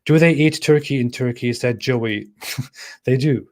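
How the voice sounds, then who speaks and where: A man of middling pitch hosting a relaxed live Q&A from a home mic. He talks in a halting, thinking-aloud way, reading viewer comments out and answering each one. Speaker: channel host answering live chat. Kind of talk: casual livestream Q&A.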